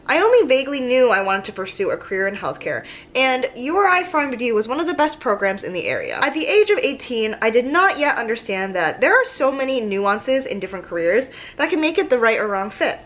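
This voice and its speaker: Earnest, pompous young American female applicant, played by a woman: highish, theatrical, dramatically modulated essay reading. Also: big pitch swings, brisk, few pauses, a bit bright. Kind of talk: mock dramatic reading of a written statement.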